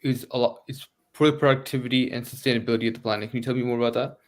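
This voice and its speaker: A man hosting an interview, asking loose, rambling questions with restarts and steady backchannel agreement. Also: mid-range voice, racing. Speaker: podcast interviewer.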